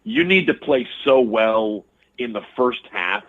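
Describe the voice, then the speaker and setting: A man's voice: on the low side, measured pace. A man calling in by phone as a guest on a sports show, thoughtful and repeating words as he works through his points. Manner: thoughtful, stammering restarts. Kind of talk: casual sports analysis. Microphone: telephone. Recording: tinny phone line.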